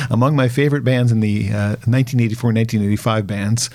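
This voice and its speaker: A middle-aged man with a mid-range voice. He hosts a relaxed, informal interview, phrasing his questions and compliments in a halting, conversational way. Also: mid-range voice. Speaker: interviewer, host. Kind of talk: casual interview chat.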